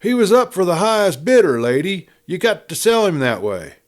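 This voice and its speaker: Male narrator as rough western cattleman, loud eager bidder, emphatic, pitched up, storybook style. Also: very animated, moderate pace. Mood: insistent. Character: rough, eager cattlemen bidding loudly in a crowd. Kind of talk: audiobook character reading of a western.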